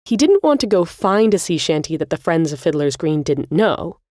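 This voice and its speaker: A woman with a low voice presents a scripted music-history podcast. She explains things in an articulate, orderly way, and the recording is very dry with little room sound. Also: sing-song, normal speed, mellow, no room sound. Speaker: podcast host.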